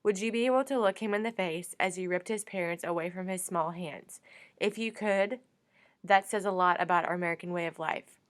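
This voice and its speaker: A woman's voice: fairly low pitch, a little fast. A young woman reading an earnest, rhetorical narration for a student documentary. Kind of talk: scripted documentary voice-over.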